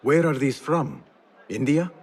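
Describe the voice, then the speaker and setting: An actor playing a guarded but easygoing young former street thief in a video game, speaking in an understated, reserved way. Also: male voice, mid-range voice, expressive, a little slow, slightly rough. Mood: curious, friendly. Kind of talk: video game cutscene acting.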